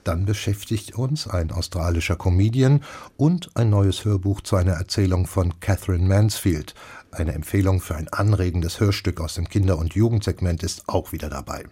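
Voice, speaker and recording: A man with a lowish, husky voice presents a book programme on the radio. He introduces and discusses titles in articulate, carefully built sentences. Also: normal speed, dark tone. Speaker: radio host of an audiobook review programme.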